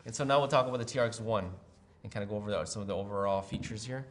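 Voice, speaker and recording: Low-voiced man demonstrating a product, noisy background. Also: racing. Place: room with brick wall, at a table.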